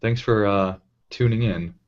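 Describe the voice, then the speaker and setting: A man's voice: fairly low pitch, unhurried, lo-fi sound. A man bantering casually in a wry, teasing way as a panel guest on an internet video call.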